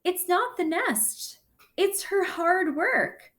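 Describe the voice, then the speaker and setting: A woman's voice: very high-pitched, lively intonation, slow pace, a bit bright, voice pushed up, heavily acted. A woman reading a storybook as a shy, earnest young boy who loves to draw, speaking sincerely and a little hesitantly. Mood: insistent.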